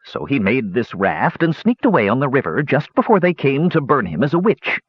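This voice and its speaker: A man's voice: medium pitch, sing-song, moderate pace, breathy edge, no echo, highs cut off, voice pushed up. A man voicing a grumpy, battle-weary old dwarf hermit in a fantasy audiobook, with coarse, growling, folksy rustic speech. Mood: rambling, folksy.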